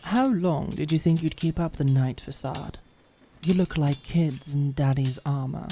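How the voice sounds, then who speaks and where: A woman's voice: low voice, dark tone, a little hiss or hum. A woman playing a sardonic, defiant lady knight in a medieval fantasy audio drama, with theatrical, formal phrasing. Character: defiant, sardonic lady knight protecting her princess lover.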